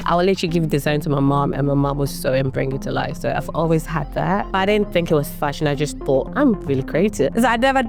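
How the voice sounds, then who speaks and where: A woman in her thirties from Ghana, a guest in a casual interview, talking in a reflective way with sudden jumps in pitch. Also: gravelly.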